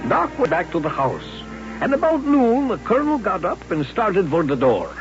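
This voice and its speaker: An actor playing a folksy western storyteller, narrating a radio drama in a measured, vivid, dramatic way. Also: male voice, high-pitched, huge pitch swings, over loud music. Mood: grave, narrative. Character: folksy western storyteller recalling frontier showdowns. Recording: muffled old radio recording.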